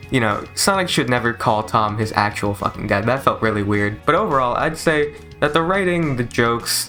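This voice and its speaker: A man's voice: medium pitch, bright, forceful tone. A man giving a casual, rambling movie review in an informal, enthusiastic, sweary way, like a YouTuber. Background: video game soundtrack music.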